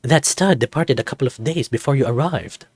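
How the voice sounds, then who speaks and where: A man's voice: mid-range voice, fairly quick, darkish tone, breathy edge, no echo, clean studio sound, close to an everyday voice. A man voicing a flamboyant, theatrical snow leopard who runs a resort in a visual novel, speaking in a campy, dramatic manner. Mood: swooning. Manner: dramatic, lavish wording, campy.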